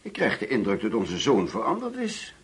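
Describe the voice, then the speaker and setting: A man's voice: lowish voice, wildly swooping pitch, normal speed, slightly rough, full character voice. An actor playing a middle-aged father in a Dutch radio play, hospitable but bitter, probing and repeating himself when agitated.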